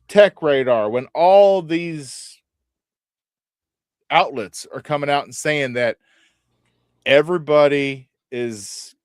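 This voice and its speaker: A man's voice: medium pitch, smooth, no room sound, studio quality. A man on a gaming podcast panel, rambling and opinionated, often trailing off mid-sentence. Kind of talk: casual panel chat.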